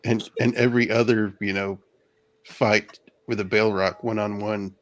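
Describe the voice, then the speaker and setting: A man co-hosting a casual book discussion, speaking haltingly and often repeating words. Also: on the low side, little pitch movement. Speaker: co-host of a read-along discussion.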